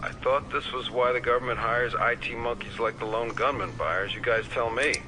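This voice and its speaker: An American man playing a sardonic FBI agent in an audio drama, with a dry deadpan delivery. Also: medium pitch, normal speed, background noise, natural voice.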